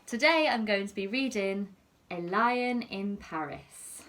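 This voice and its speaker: A woman teacher reading a picture story aloud to young children in an expressive, deliberate storytelling manner. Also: medium pitch.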